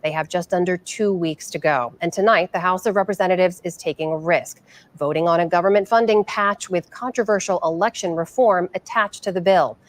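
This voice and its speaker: A woman's voice: fairly low pitch, a little fast. A woman news anchor presenting and asking questions in broadcast style.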